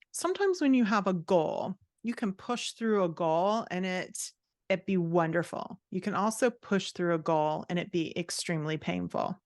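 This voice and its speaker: A woman's voice: lowish voice, no room sound, studio quality. A woman writing coach talking conversationally as an interview guest, giving blunt, emphatic advice and acting out quotes as she goes.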